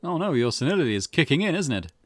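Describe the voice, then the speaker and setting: A man voicing an eager, cheeky and excitable young detective sidekick with a teasing manner in a visual novel game. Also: on the high side, fast, dry recording, voice pushed up. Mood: worried, teasing. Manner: teasing, animated.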